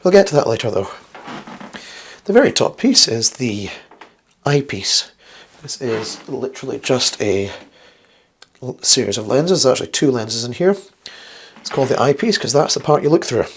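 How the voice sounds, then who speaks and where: A man with a slightly croaky, flu-worn voice hosts a casual instructional science vlog, chatty and rambling with wry, self-deprecating asides. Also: mid-range voice, sing-song, normal speed, a little hiss or hum. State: getting over the flu. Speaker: host of a science video series.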